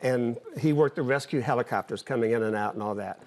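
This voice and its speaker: An older man speaking as an interview guest. His pitch is average for a man. He explains things in a plain, conversational way, halting now and then and repeating words as he goes. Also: darkish tone. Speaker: interview guest, former air traffic controller and pilot.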